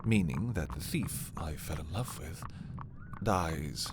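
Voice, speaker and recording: Casting: male actor as a dark romantic prince, low-voiced, commanding, possessive, with widely swinging intonation, for fantasy ASMR roleplay. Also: animated, background noise, full character voice. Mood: tender, possessive. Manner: slow, deliberate, imperious yet intimate. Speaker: audio roleplay performer.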